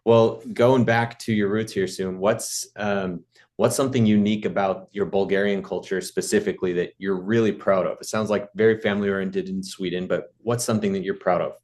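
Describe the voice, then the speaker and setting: A man hosts a casual interview in a very low, deep voice. He encourages his guest warmly with short affirmations and easy follow-up questions. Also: steady tone, a little fast. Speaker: podcast host.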